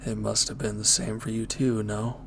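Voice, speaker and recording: Man acting a low, subdued, conflicted former villain. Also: level tone, gravelly, background noise. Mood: regretful, earnest. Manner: hesitant, earnest, halting. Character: brooding former villain, now a conflicted, hesitant lover. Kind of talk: intimate audio roleplay acting.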